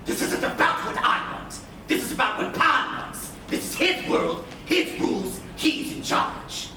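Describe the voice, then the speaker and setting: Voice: medium pitch, very animated, measured pace, bright, forceful tone, heavily acted. Sly theatrical pirate villain, distant and echoing. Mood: menacing. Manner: mocking, grandiose, sneering delivery. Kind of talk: live stage play acting. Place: indoor stage of a theatre. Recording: echoey theatre, distant mics, noisy room.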